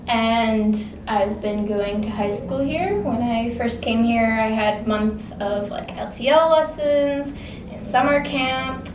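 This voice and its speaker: A woman's voice: fairly high pitch, reverberant, far from the mic, a little hiss or hum, poor audio. A sixteen-year-old girl from the Czech Republic, an exchange student answering interview questions casually with lots of "like" and "um". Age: teens. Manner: casual, many fillers like "like" and "um".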